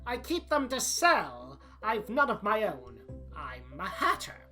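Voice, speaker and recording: A woman's voice: medium pitch, wildly swooping pitch, slow pace, pushed and bright, faint music behind, exaggerated voice. A woman playing a nervous, flustered court character in an audio drama, with a jittery, declamatory delivery. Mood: apologetic. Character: nervous, flustered court figures: herald and witness.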